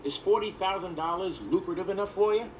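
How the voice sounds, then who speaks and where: An eager cartoon pop-up salesman, acted by a man, pitches in a raised voice. He sounds like a keen hustler from an animated storybook. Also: brisk, slight background noise, natural voice, poor audio. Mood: eager, pitching.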